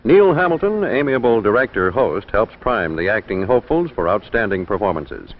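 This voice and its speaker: A man's voice: fairly low pitch, big pitch swings, moderate pace. A man announcing programme promotions from a script in 1950s broadcast style. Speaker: television announcer. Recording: dull old 1950s broadcast.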